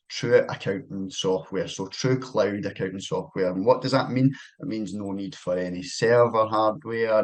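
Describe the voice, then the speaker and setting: Scottish account manager with a slightly low, husky voice, chatty presenter. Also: male voice. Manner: loose and conversational, with many fillers and restarts. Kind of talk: casual presentation.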